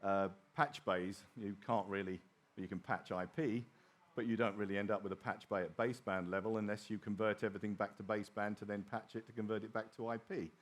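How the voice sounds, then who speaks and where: A middle-aged man speaks as a presenter at a technical conference. His voice sits a little low. He explains things informally, slipping in wry asides. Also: fairly even intonation, brisk. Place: small open presentation theatre at a trade show.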